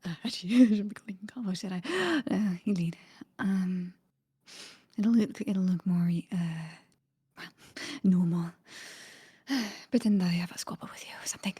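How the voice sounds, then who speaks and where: Woman playing a hushed, breathy, guarded adventurer. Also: mid-range voice, lively intonation, moderate pace, pauses often. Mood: awkward, conspiratorial.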